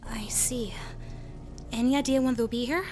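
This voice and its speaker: Woman acting high-voiced, shaken young woman. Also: fairly even intonation, normal speed, darkish tone, soft background music, close to an everyday voice. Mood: subdued, anxious. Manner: anxious, faltering. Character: shaken, frightened young woman at crime scene. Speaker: actress in amateur college radio play. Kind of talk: radio-play dialogue.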